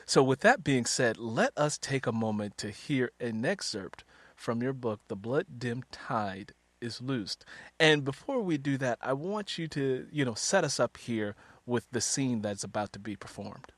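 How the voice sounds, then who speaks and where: A man's voice: medium pitch, lively intonation, a little slow. A man hosting a conversational book interview as a fellow author, speaking in an effusive, encouraging way.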